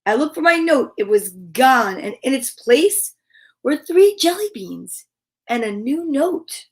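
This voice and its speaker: A woman's voice: sits high, big pitch swings, takes it slowly. A woman reading a children's story aloud in a dramatic, playful manner. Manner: dramatic, with gasps and playful asides.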